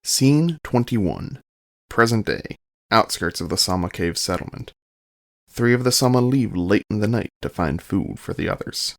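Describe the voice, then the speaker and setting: Gravelly male scene narrator. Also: fairly low pitch, animated, unhurried. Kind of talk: scripted scene-setting narration for audio drama.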